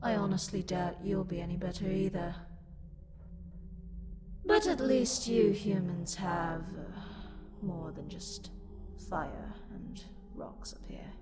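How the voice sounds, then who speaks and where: A woman's voice: medium pitch, lively intonation, takes it slowly, very noisy. A woman voicing a livid, haughty tsundere demon in a scripted roleplay audio, condescending and scornful, with theatrical sighs. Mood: disdainful.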